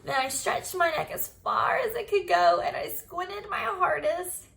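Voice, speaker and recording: A woman teacher giving an expressive storybook read-aloud, speaking directly to young pupils. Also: very high-pitched, unhurried, vocal fry. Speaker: primary school teacher.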